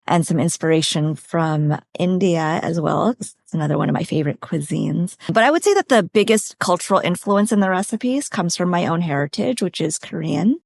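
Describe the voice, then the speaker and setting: A woman's voice: fairly low pitch, lively intonation, normal speed, vocal fry, few pauses, darkish tone, no room sound, studio quality. A woman from California, a dietitian on a podcast, explaining food and nutrition in a practical, step-by-step way. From California, USA. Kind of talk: conversational expert explanation.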